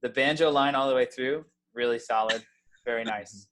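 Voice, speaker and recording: A man judging a music challenge over a video call, speaking in halting, repeated phrases and full of praise. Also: medium pitch, a little hiss or hum. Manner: warm-hearted, halting, repeats himself. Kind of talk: casual video-call chat.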